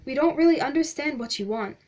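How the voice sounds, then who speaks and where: A polite teenage boy speaks earnestly and a little hesitantly, read by a woman. His voice sits fairly high and eager. He sounds young and unsure of himself. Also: lively intonation, brisk, darkish tone.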